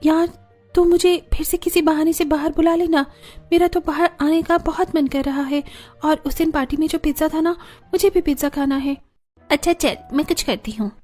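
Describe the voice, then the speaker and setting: A woman's voice: high-pitched, normal speed, warm tone, faint music behind, natural voice. A woman voicing chatty young women in a cartoon family story. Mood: pleading, chatty.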